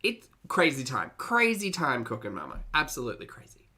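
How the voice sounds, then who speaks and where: A woman's voice: extremely low pitch, wildly swooping pitch, a little fast, bright, forceful tone, husky. A woman hosting casual reaction commentary, emphatic and dryly dismissive, often repeating her phrases. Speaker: commentary host.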